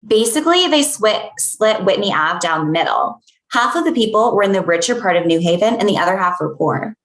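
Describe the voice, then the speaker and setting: A woman's voice: mid-range voice, lively intonation, a little fast, fairly bright tone, lightly acted. A woman playing an earnest, firm academic friend, matter-of-fact, in a comedy script table read. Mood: explanatory. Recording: echoey room sound.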